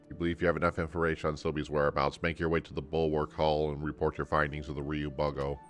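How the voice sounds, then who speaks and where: A man's voice: rumbling low, level tone, fast talker, soft background music. A man streaming a game live, reading text aloud and commenting in a self-deprecating way.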